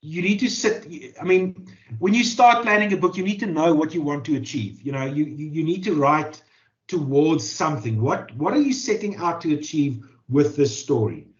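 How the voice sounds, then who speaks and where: A South African man whose first language is Afrikaans answers interview questions about his writing. His voice sits slightly high, and he halts often with fillers and repeated words. The audio is muffled and lo-fi. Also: lively intonation, moderate pace. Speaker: journalist and author. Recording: dull lo-fi audio.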